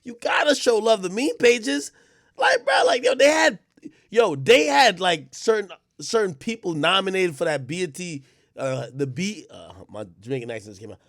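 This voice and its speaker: A man hosting his own live stream, talking casually and with a lot of swearing as he addresses his chat directly. His voice sits at an ordinary pitch. The sound is close and dry, with no room around it. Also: big pitch swings, normal speed, a bit bright, dry recording. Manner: loose, profane, talks straight to chat.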